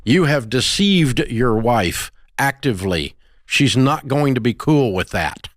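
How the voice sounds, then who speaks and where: A man's voice: medium pitch, lively intonation, measured pace, no room sound, studio quality. A man hosting a radio advice show, bluntly scolding a caller in an incredulous tone.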